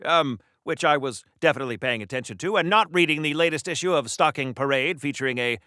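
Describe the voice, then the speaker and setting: A man's voice: fairly high pitch, fast talker, sharp tone, no echo, studio quality. A man playing a chatty, pompous wartime comic-book hero in a video game, with flowery diction in a theatrical 1940s radio serial style. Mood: bright, insistent.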